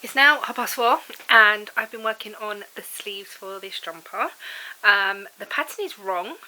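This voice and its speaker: Woman, bunged-up cold voice, froggy throat, bright tone, rambling casual vlog chat, at home. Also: mid-range voice, a little slow, poor audio. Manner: rambling and chatty, laughs often. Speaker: vlogger. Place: at home indoors.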